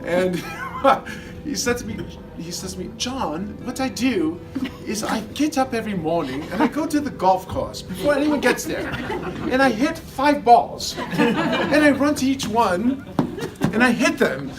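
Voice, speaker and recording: High-pitched man, animated party toast, distant mic, loud music. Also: unhurried. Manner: animated storyteller, laughing through jokes. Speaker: former trainee giving a tribute.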